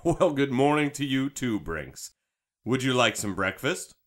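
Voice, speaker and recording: A man's voice: mid-range voice, very animated, measured pace. A man acting as an easygoing, practical, self-deprecating spaceship crew leader in an audio drama. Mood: relaxed, explanatory.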